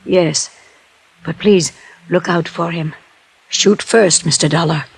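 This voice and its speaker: An actress playing a wealthy, shrewd older widow in a 1950s radio drama, formal and emphatic with a husky voice. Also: female voice, fairly low pitch, steady tone, slow pace, full character voice. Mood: vengeful.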